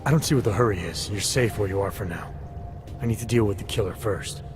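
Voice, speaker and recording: A low-pitched, gruff investigator from a video game, played by a man, stoic and guarded. He speaks in terse, measured phrases without getting rattled, with prominent music underneath. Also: lightly acted. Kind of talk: video game cutscene acting. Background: loud music.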